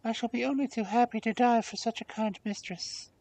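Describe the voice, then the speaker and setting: A humble young servant girl from a storybook, acted by a man. The voice is very high, selfless and earnestly devoted to the mistress. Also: brisk, creaky, a little mellow, dry recording, full character voice, poor audio. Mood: pleading, devoted. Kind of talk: storybook character voice.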